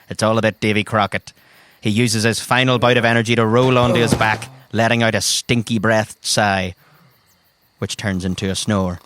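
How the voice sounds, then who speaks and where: A man's voice: medium pitch, a bit bright, slight background noise. A man narrates a tabletop adventure dramatically in the second person as game master. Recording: music bed behind the voice. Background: background music.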